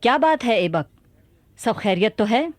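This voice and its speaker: A woman's voice: on the high side, animated, a little slow, dry recording. A woman acting a resolute, imperious young sultana in a radio play, speaking formally. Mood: stern, alert.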